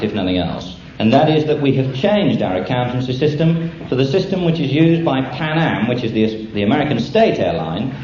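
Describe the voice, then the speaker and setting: A British man giving a humorous after-dinner speech in a deadpan manner, with dry understatement. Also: medium pitch. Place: function room with an audience. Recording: echoey, dull, very noisy live room recording. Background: audience laughter, loud noise.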